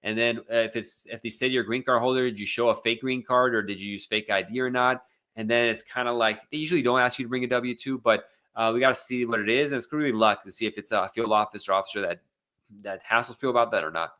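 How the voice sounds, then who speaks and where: A man's voice: medium pitch, fairly even intonation, fast, sharp tone. An American man hosting a casual live Q&A as an immigration attorney, reading out viewer questions and answering them bluntly with many fillers and restarts. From United States.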